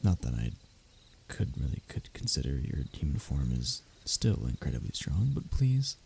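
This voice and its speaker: A man's voice: very deep voice, very animated, moderate pace, creaky, a little mellow, a little hiss or hum, exaggerated voice. A man playing a stubborn, scheming, lovestruck young human royal held captive, in a close audio roleplay with flowery wording and flustered stammers. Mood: flustered, shy. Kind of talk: audio roleplay acting, addressing the listener closely.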